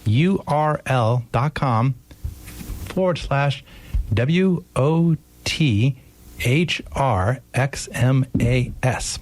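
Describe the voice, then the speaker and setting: A man's voice: medium pitch, animated, drawn-out words, slight background noise. A man talking casually as an interview guest, explaining in a meandering, jokey way. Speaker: radio show sound-effects performer, interview guest.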